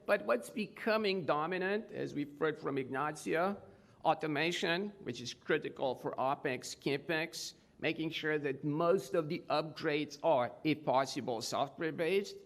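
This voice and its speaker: A man with a Serbian accent, a native Serbian speaker, giving a measured technical talk at a conference. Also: fairly high pitch. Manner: measured, explanatory, occasional hesitations. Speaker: conference speaker, research lab head. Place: conference hall.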